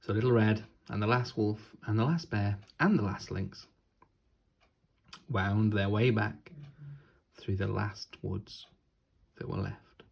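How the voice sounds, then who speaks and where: A man narrating a children's storybook like a teacher reading aloud, deliberately and with dramatic pauses. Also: fairly low pitch, fairly even intonation, creaky, darkish tone, breathy edge.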